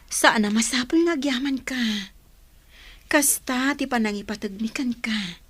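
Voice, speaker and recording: An actress playing an earnest, devoted young woman with a breathy voice in a melodramatic radio drama. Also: a little high, unhurried, no room sound. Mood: reassuring. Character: devoted, earnest young woman supporting her sick sister.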